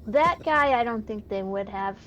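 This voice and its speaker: A woman's voice: a little high, lively intonation, normal speed, a bit bright. A woman co-hosting a game stream, talking casually over voice chat. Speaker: co-host, fellow gamer. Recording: dull lo-fi voice chat with noise.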